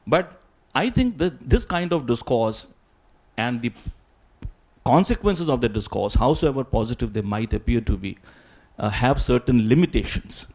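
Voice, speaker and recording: A man speaking as a symposium panelist, answering in a halting, deliberate academic way and often repeating words. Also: medium pitch, animated, a little mellow.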